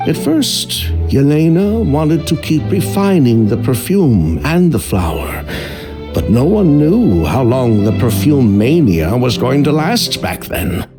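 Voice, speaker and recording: A man's voice: medium pitch, sing-song, takes it slowly. An actor playing a defensive, scheming middle-aged businessman in a game, dismissive in tone. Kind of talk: game voice acting. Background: game music.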